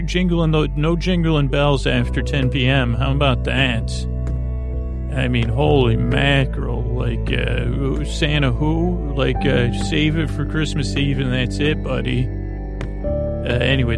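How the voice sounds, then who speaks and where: A man hosting a sleep podcast, rambling softly with many fillers and restarts in a lulling, creaky voice. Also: medium pitch. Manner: meandering, halting, full of fillers and restarts; soft, lulling, unhurried.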